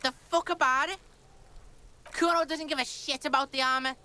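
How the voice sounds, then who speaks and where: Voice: sits high, steady tone, moderate pace, sharp tone, a little hiss or hum. An actor voicing a foul-mouthed, aggressive street kid in a video game, brash, taunting and full of scorn. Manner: brash, swearing, taunting, speaks of self in third person. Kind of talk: video game character dialogue.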